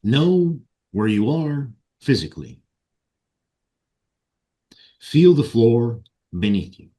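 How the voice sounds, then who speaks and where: A man leading a guided meditation and ceremonial invocation in solemn, deliberate short phrases. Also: medium pitch, very animated, dark tone. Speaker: meditation guide and ritual leader.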